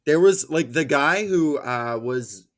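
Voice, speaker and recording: An American man hosting a casual political discussion, talking in a halting way with lots of filler words. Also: a little high, animated, fairly bright tone. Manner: halting, filler-heavy, peppered with "like". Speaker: podcast host.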